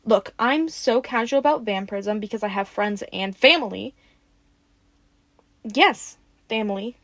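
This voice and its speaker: A woman acting in an audio roleplay as a friendly, excitable young woman who rambles casually and gets playfully defensive. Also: medium pitch, a little slow, dry recording.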